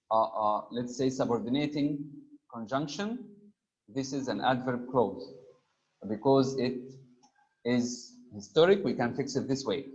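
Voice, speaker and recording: A man teaching a grammar lesson, reading example sentences slowly, stressing each item and pausing on frequent "uh" fillers. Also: mid-range voice. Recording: dull lo-fi recording.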